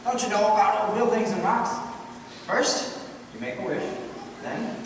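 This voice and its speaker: An actor playing a restless young man, an eager dreamer who talks in an earnest, expressive way, in an amateur radio play. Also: moderate pace, natural voice. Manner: earnest, expressive, swinging pitch. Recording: echoey hall, distant mic, noisy.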